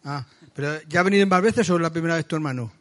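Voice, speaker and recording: Male church host, Spain accent, higher-than-average pitch, conversational and slightly halting, addresses the congregation with questions and announcements. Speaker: church meeting leader. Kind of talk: informal church announcements, greeting visitors.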